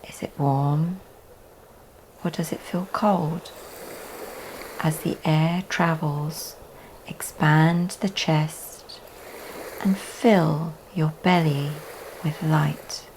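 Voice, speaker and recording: A woman teaching yoga leads a guided relaxation meditation in a soft, often breathy delivery with long pauses. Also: low voice, fairly even intonation, low-quality recording. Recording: faint background noise.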